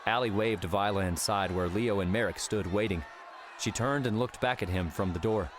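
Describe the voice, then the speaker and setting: A man's voice: on the low side, normal speed, a crowd in the background, background noise. A man narrating a scripted story as an audiobook narrator.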